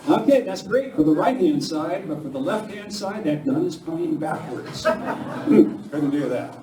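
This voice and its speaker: A man's voice: on the high side, animated, slow pace, long pauses. An older man doing casual, self-deprecating comic patter as an amateur actor on stage. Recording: echoey room, distant mic, crowd.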